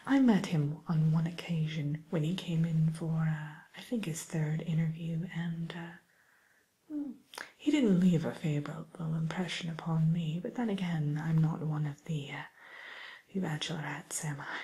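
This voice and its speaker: A woman playing a polite, discreet matchmaker for villains in a whispered ASMR roleplay, speaking softly and breathily with tactful, hesitant, gently dry delivery. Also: low-pitched, measured pace. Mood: tactful, wry.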